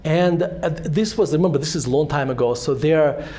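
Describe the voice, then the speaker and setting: A man's voice: on the high side, normal speed, dark tone. A man in his forties with a Russian accent, speaking as a guest at a fireside chat in a synagogue hall, telling rambling self-deprecating stories full of restarts. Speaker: author and guest. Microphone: handheld microphone.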